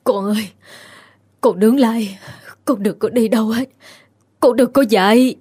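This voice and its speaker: A woman voicing a frail, grieving old peasant mother who pleads in slow, wailing tones in an audio story. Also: fairly high pitch, warm tone, slightly rough. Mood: pleading. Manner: wailing, slow sobbing pleas.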